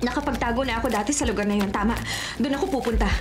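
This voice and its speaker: A woman acting a fast, high-voiced cheeky young thief. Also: background noise, lightly acted. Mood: cheeky, urgent. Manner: breezy, slangy, self-mocking. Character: cheeky, streetwise female thief in her twenties. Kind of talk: radio drama acting, inner monologue. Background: loud dramatic music.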